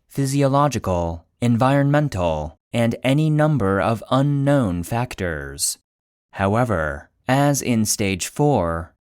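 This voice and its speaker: A man narrating a scripted fictional case file for a podcast in a measured, serious, clinical manner. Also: medium pitch, darkish tone, dry recording, studio quality.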